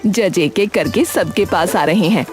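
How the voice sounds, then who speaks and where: A woman serves as narrator of a cartoon story. She reads scripted narration line by line. Music plays clearly in the background. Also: on the low side, unhurried.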